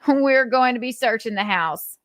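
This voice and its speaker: A woman playing a calm, persistent male police officer, questioning in a matter-of-fact, probing way for a dramatic reading. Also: on the high side, moderate pace, no echo, clean studio sound, pitched above the performer's own voice, lightly acted.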